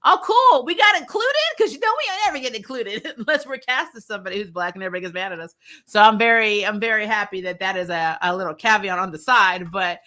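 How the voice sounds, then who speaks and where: A woman hosting a casual fan talk, blunt and emphatic, lightly swearing and quick to laugh. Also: medium pitch, bright, forceful tone. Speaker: host of a fan review show. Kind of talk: casual chat, answering viewer comments.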